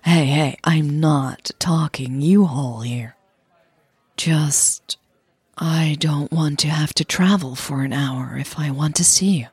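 A woman who is a native Dutch speaker, voicing a caring, guarded, gently wry woman in love in an audio story. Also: deep voice, slow pace, husky, dry recording, full character voice.

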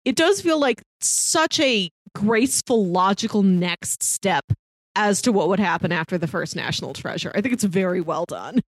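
Lady on a movie podcast, dry close audio, mid pitch, chatty and thoughtful, casual film talk. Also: animated, normal speed, dry recording. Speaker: podcast co-host.

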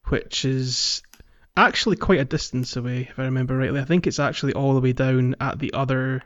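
A man co-hosting a podcast, chatting casually about a video game in a rambling, halting, jokey way. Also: mid-range voice, steady tone, fairly bright tone. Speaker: podcast co-host. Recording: dull lo-fi audio, roomy echo.

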